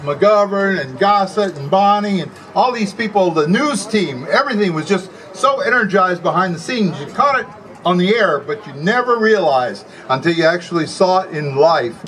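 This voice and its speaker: A man's voice: high-pitched, animated, normal speed, fairly bright tone, low-quality recording. An older man reminiscing earnestly to an audience as a former radio intern.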